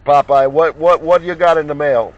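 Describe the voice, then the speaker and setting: A man's voice: on the high side, normal speed. A man making CB radio contacts, repeating names and call signs in chatty operator patter. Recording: dull, muffled lo-fi sound.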